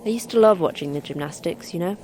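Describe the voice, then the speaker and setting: A woman's voice: lowish voice, animated, fast, warm tone, slight background noise, lightly acted. A woman playing a brusque, sardonic, talkative commander in a sci-fi horror audio drama. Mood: dry, teasing. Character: wry, brusque female commander of an Antarctic monster facility.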